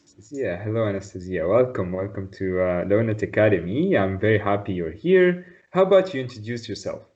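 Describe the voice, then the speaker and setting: A man's voice: lowish voice, animated, a little fast, a little mellow. Encouraging male tutor over a dull video call. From Tunisia. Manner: patient, encouraging, repeats praise. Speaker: Arabic tutor. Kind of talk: online one-on-one language lesson.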